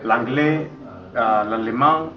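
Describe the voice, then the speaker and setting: A middle-aged man from Mexico with a Mexican Spanish accent, speaking French slowly and hesitantly as the guest in a casual interview, with many pauses and fillers. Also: mid-range voice. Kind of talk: casual interview in a learned language. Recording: dull echoey recording with crowd noise.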